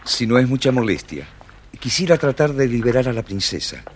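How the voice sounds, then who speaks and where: A brave young fairy-tale suitor, acted by a man, courteous and smitten. His voice is mid-pitched, on a storybook record with faint noise. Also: sing-song, brisk, lightly acted. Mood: humble, resolute. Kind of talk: children's audio storybook acting.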